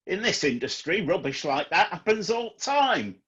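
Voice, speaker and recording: A man's voice: high-pitched, sing-song, normal speed, sharp tone, slightly rough, muffled recording, exaggerated voice. A man playing a blunt, scolding music manager and irritable son in a comedy radio play. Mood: scornful.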